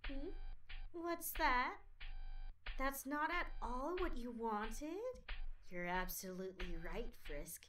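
A woman voicing a sinister, mocking child villain in a comic dub, smug, taunting and deliberate. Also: a little high, bright, forceful tone, recorded from a distance, faint music behind.

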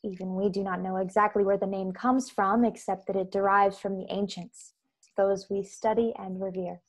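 A woman in her twenties playing an earnest, proud secret-society member in a science-fiction radio play, speaking in measured, formal phrases. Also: mid-range voice, steady tone, close to an everyday voice. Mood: earnest, firm. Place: bedroom on video call. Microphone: earbud headset.

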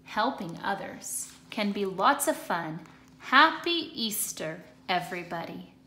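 Slow-paced woman reading a picture book. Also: mid-range voice, sing-song, bright, forceful tone. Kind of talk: children's picture-book read-aloud. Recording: echoey hard-floored room.

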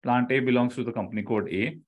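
A man's voice: mid-range voice, fairly even intonation, quick pace. A middle-aged man with an Indian accent, an online instructor explaining step by step, repeating key phrases and checking in with "okay" and "right". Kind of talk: live online lecture.

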